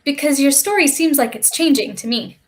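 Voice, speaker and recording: High-voiced defiant kid spacewalker played by a woman. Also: normal speed, lightly acted. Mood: suspicious. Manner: flustered yet argumentative. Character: defiant, quick-witted child spacewalker stowaway. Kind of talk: theatrical script reading over a livestream. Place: remote online stream.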